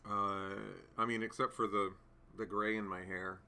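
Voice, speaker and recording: Bright-voiced man chatting live. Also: lowish voice, flat delivery, normal speed. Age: 30s. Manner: rambling, many fillers and restarts. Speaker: livestream host. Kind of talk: casual live chat reading comments.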